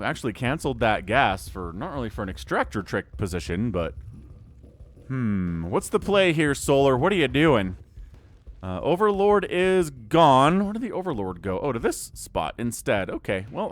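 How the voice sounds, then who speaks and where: A man casting a strategy video game match solo, excitable and jokey, asking himself questions and reacting as plays unfold. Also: medium pitch, slight background noise. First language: English. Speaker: esports caster. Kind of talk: solo play-by-play commentary.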